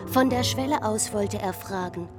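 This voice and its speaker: A woman's voice: medium pitch, normal speed. A woman reading literary narration in a radio drama. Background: loud music.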